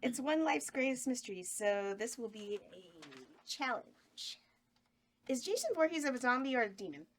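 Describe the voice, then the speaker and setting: Flirty bawdy horror hostess. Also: female voice, on the high side, big pitch swings, normal speed, a little hiss or hum. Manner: bawdy, giggly. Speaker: cast member in table read. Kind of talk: table read acting.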